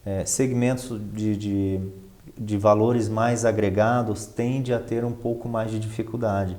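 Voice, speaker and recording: A Brazilian man with a fairly low, very mellow and relaxed voice talks as an interview guest. He explains in a conversational, expert way, repeating key phrases for emphasis and posing rhetorical questions. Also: unhurried. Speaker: interview guest, finance professional and professor.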